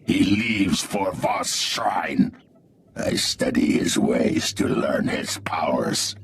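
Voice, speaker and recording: Deranged alien brute for a game, very low and gravelly, halting primitive speech, angry and menacing. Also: male voice, big pitch swings, in a whisper, heavily acted. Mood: brooding anger. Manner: halting, primitive short declarations. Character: furious deranged alien brute speaking broken, simple sentences. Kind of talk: dramatic video game acting.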